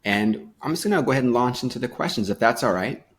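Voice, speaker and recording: A man's voice: mid-range voice, expressive, quick pace, a little hiss or hum. A man hosting an interview podcast, reading a polished intro and then asking questions in an easygoing, earnest way. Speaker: podcast host.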